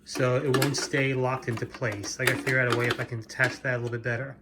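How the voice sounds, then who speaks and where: A man's voice: medium pitch, flat delivery, fast, heavy background noise. A man hosting his own reseller vlog, showing items in a casual, unscripted, plain-spoken and matter-of-fact way. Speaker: flea market reseller hosting own show. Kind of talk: casual unscripted product show-and-tell.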